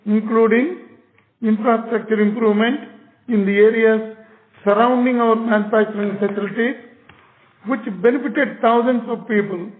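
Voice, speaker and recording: A high-pitched man's voice with an Indian accent comes through a narrow, tinny phone line. He delivers formal prepared remarks as a company's managing director. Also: steady tone, normal speed.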